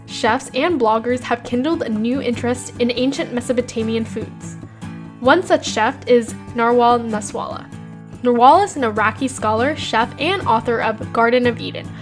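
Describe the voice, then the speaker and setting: Woman host reading narration over music. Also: fairly high pitch. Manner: measured, read-aloud delivery. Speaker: podcast host. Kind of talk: scripted narration and interviewing.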